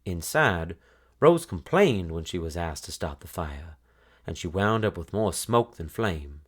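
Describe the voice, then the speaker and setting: A man with a deep voice narrates a children's storybook. His delivery is highly melodic and expressive, rising and falling to carry the tale. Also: a little slow, husky.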